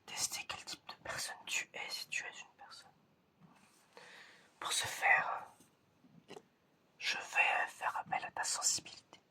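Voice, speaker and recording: A man doing an ASMR roleplay in a breathy, unvoiced whisper, addressing the listener directly and often repeating himself. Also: sharp tone. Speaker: ASMR video creator playing an examiner.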